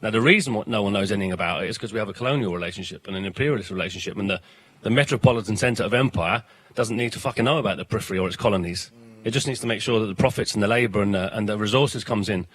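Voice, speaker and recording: A man in his fifties with a South London accent, a guest at a political festival talking fast and forcefully, often repeating words as he starts a sentence. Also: on the low side, slightly rough, dry recording. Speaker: political education organiser, guest. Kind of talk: passionate interview talk, swearing.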